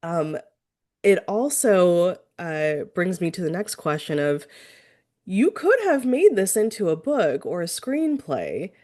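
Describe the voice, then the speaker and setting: A woman with a fairly low voice hosts a casual interview, musing aloud and agreeing warmly with her guest's points. She speaks thoughtfully, pausing on filler ums. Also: big pitch swings. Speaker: podcast interviewer.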